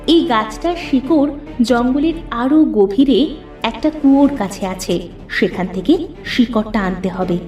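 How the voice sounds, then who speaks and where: Helpful enchanted lemon for a fairy-tale cartoon, female performer: gentle, explanatory, raised pitch over background music. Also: animated, unhurried, roomy sound, recorded from a distance. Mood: instructive. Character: kindly, helpful talking magic silver lemon.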